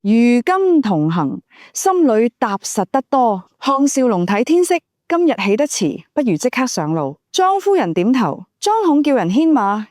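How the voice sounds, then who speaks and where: A woman's voice: on the high side, darkish tone, dry recording, clean studio sound. A woman reading scripted novel narration. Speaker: audiobook narrator.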